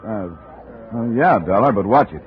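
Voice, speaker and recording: Male actor as cautious police lieutenant, low official tone, muffled vintage radio recording. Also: lively intonation, normal speed, close to an everyday voice. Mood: businesslike. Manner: matter-of-fact, official. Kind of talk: 1950s radio drama acting.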